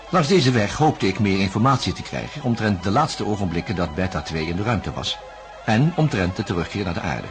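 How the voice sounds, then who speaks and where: An actor playing an earnest, uneasy male investigator, narrating a radio play in a measured, reflective way. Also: on the low side. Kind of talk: first-person dramatic narration. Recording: loud music behind the voice.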